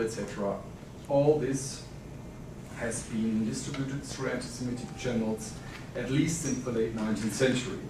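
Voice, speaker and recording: German-accented academic in an echoey hall. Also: male voice, mid-range voice. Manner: measured, formal delivery with frequent "uh" pauses. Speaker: academic conference speaker. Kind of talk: academic conference paper reading. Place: conference hall. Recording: echoey hall, distant mic, noisy.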